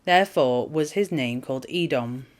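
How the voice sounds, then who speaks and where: A woman reading scripture aloud as formal narration, in measured, solemn phrases. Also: a bass voice. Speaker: Bible reader.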